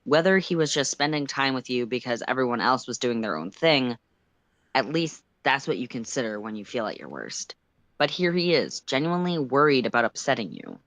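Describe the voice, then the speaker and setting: A storyteller reading second-person fiction aloud on a livestream in an expressive narrating style. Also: mid-range voice, moderate pace, no room sound.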